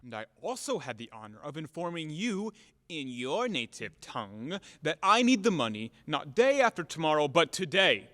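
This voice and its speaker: An actor playing a blustering, hot-tempered boor in an audio play, loud and declamatory, scornful and bombastic. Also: male voice, fairly high pitch, big pitch swings, moderate pace. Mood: furious demanding. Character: blustering, hot-tempered, boorish man demanding repayment, cynical about women. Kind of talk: theatrical audio play acting. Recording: echoey hall-like reverb.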